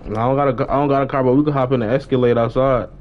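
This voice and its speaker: A man's voice: mid-range voice, fairly even intonation, racing, dull audio. A young American man chatting casually on a gaming live stream, repeating phrases and using heavy street slang. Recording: noisy live stream with game sounds.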